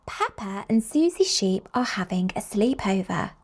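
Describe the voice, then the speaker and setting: A woman reading picture books aloud to young children in an expressive, slow storytelling manner. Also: medium pitch. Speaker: children's storybook reader and host.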